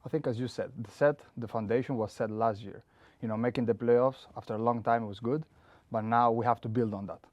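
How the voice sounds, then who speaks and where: A man's voice: medium pitch, steady tone, brisk, darkish tone, dry recording. A young man from Spain, answering interview questions as a head coach in a plain, earnest way with frequent "uh" and "you know". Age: young adult.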